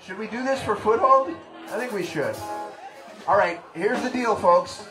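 A middle-aged frontman chats to the crowd in a loose, storytelling way, his voice sitting fairly high. He is heard through a stage mic from across the room, with the echo of a small bar. Band gear and a crowd murmur fill the background. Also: animated, a little slow, music in the background. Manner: chatty storytelling, chuckling asides. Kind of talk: stage banter between songs. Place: small tavern stage, indoors. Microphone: stage vocal mic, picked up by a camera in the room. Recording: echoey bar, distant, band and crowd noise.

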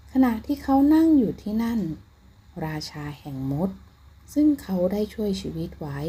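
Female storyteller for children's bedtime fairy tales, soft mellow timbre, medium pitch, read from script. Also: husky.

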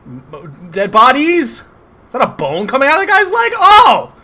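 A man giving casual, excitable, sarcastic commentary over a video game playthrough, swearing often. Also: extremely high pitch, normal speed. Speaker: gameplay commentator. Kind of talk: casual let's play commentary.

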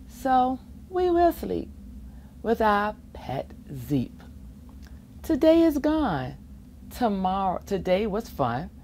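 A middle-aged woman reading a children's picture book aloud in an expressive sing-song way, with playful asides. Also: medium pitch. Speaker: volunteer children's storybook reader. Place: school or public library children's corner, indoors. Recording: faint room ambience noise.

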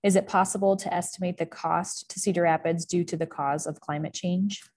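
A woman moderating an online talk, reading audience questions aloud in a steady manner over a video call. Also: lowish voice, fairly quick. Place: indoors, office room.

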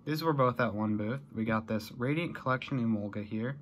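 Young American male vlogger, mid-pitched, casual and rambling, bedroom recording with audible noise. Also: fairly quick. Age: young adult. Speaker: vlogger showing a collection haul. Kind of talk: casual chat to camera. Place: small bedroom, indoors.

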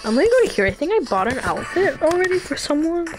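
A woman's voice: high-pitched, big pitch swings, fast talker. A young adult woman giving casual, slangy game commentary with offhand swearing. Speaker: game streamer. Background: game music.

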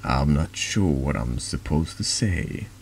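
A man plays a brooding, cynical man in a confessional from a horror game. His voice is extremely low and creaky, polite and very measured, with slow delivery and secretive weight. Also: lively intonation, darkish tone, a little hiss or hum, full character voice. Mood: downcast, hesitant.

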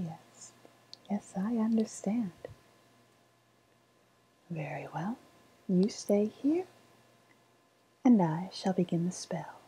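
Female performer as woodland witch for ASMR roleplay: very breathy, very slow, eerily sweet and foreboding. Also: lowish voice, full character voice, rough recording. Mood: coaxing, intimate. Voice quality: breathy, half-whispered. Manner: slow, deliberate, hushed, ominous. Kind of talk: softly spoken ASMR roleplay.

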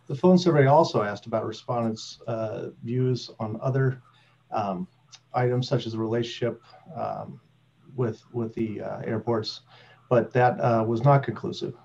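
A man in his forties giving technical explanations as a panelist on a video call, halting mid-phrase, in slightly dull audio. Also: mid-range voice, gravelly, mellow, a little hiss or hum. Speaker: survey researcher on a panel. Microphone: computer video-call microphone.

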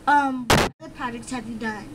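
A woman interviewer asking simple, casual questions in a slightly halting way. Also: on the high side, far from the mic. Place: film set. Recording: noisy location, off-mic, loud background.

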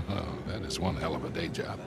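A man's voice: deep voice, brisk, vocal fry, very noisy. An actor playing a wry, genial older American con man in a video game, dry and bantering in manner. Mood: dry, needling. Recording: game scene under loud music.

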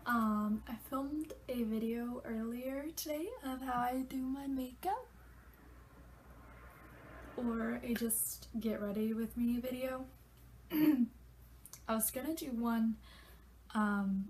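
A woman's voice: on the high side, steady tone, slow pace, pauses often, darkish tone, slight background noise. A young woman in her twenties, congested, chatting casually and loosely to camera in a small bedroom, swearing freely. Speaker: vlogger.

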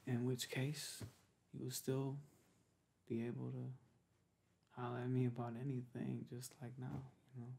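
A young man speaks in a soft, intimate ASMR roleplay style, as if in pillow talk. His voice sits at a medium pitch with plenty of vocal fry and a husky, breathy edge. He talks casually and haltingly, easygoing and unhurried in manner. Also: completely flat delivery. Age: young adult. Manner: hesitant, halting, laid-back pillow talk. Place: small bedroom, lying on a bed.

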